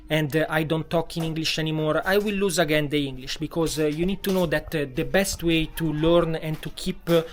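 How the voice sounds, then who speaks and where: Italian guy vlogging, kinda high voice, lots of uh's. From Italy. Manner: halting, many fillers. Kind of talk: casual vlog talk. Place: home in a tropical town.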